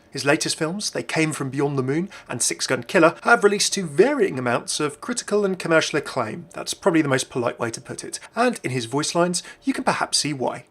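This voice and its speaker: Male gaming-video narrator, slightly high voice, scripted read over light music. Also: fairly bright tone, slightly rough. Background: background music.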